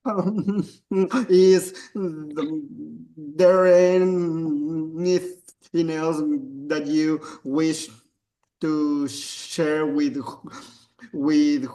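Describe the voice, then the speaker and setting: Guy who stutters a lot asking questions, super slow, bit high voice. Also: steady tone, mellow, low-quality recording. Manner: stutters heavily, repeating sounds and blocking. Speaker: interviewer. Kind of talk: interview questions.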